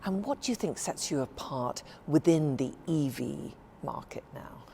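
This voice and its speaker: A woman TV reporter narrating a feature and interviewing, with a measured, polished delivery. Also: low-pitched, expressive, creaky, dark tone, slight background noise. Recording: narration over background music.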